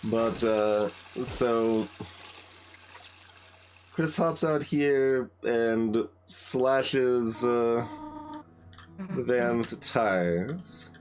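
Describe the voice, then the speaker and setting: A man giving casual game commentary as host, thinking aloud in a halting way. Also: medium pitch, a little mellow, a little hiss or hum. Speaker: gaming video host. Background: game music.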